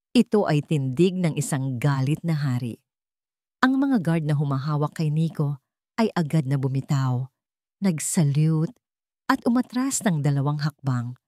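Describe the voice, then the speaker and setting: A woman's voice: low voice, warm tone, no echo, studio quality. A woman narrating a scripted dramatic story as its storyteller.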